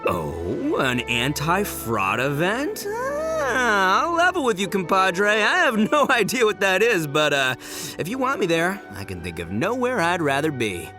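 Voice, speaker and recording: A boastful brash beetle champ. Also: male voice, sits high, huge pitch swings, unhurried, music in the background, full character voice. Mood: animated. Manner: boisterous, laughs a lot, slangy. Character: brash young male beetle-battle champ, boastful hustler. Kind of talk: video game voice acting.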